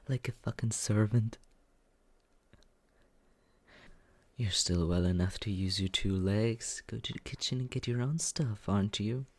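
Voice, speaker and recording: A performer voicing a smug, mocking young male roommate in an ASMR roleplay, with a breathy, husky voice that often slips into a whisper and a condescending, taunting drawl. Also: medium pitch, darkish tone, dry recording. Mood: scolding. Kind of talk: ASMR roleplay, slow teasing monologue.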